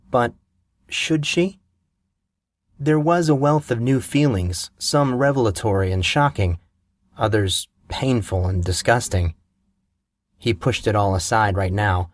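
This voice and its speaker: A man narrating a fantasy novel in a measured, steady storytelling manner, recorded on a close mic. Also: mid-range voice, no room sound.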